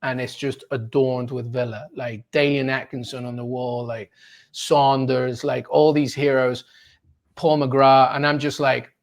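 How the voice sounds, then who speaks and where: A man in his forties from Peru, a sports journalist telling his story as an interview guest in an animated, enthusiastic way, quick to laugh. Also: mid-range voice. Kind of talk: casual storytelling in a conversation.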